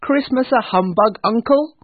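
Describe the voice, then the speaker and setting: Man playing a high-pitched, cheery young gentleman. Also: unhurried, no room sound. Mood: cheerful, surprised. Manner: exuberant, hearty, pleading. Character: cheerful, warm, earnest young gentleman, the miser's nephew. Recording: thin, phone-like narrow-band sound.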